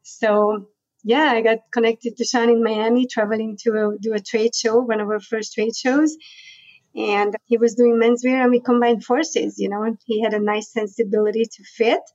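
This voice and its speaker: A woman's voice: a little high, flat delivery, normal speed, a little mellow, no room sound. Hungarian-accented woman telling stories, dull audio. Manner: chatty, laughs often, fillers like "you know". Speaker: guest, fashion company CEO. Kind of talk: casual interview storytelling.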